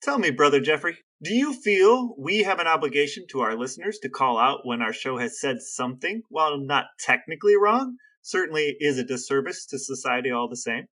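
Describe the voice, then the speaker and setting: A man's voice: fairly high pitch, lively intonation, brisk, few pauses, sharp tone. A man co-hosting a casual podcast with his brother, wry and mock-formal in tone. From American Midwest. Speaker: podcast co-host. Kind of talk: casual chat between brothers.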